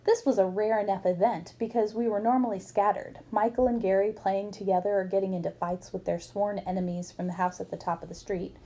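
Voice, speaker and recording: A woman's voice: mid-range voice, fairly even intonation, a little fast, hardly any pauses, dark tone. A woman reading a book aloud expressively, as for children, acting up the dialogue. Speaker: book reader.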